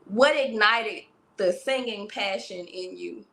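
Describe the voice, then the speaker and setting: A woman's voice: medium pitch, slow, creaky, fairly bright tone, a little hiss or hum. A woman hosting a livestream interview, asking questions and talking to the chat in an upbeat way. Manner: upbeat, addresses the chat directly. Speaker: interview show host.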